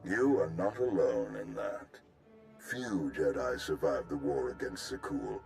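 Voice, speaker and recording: An actor playing a courteous, formal Republic military overseer in a video game, with polished and effusive speech. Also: male voice, a bass voice, lively intonation, a little slow, vocal fry, a little mellow, faint music behind, full character voice. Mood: grave, courteous. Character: genteel, courteous male Republic military overseer, tea connoisseur. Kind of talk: video game cutscene acting.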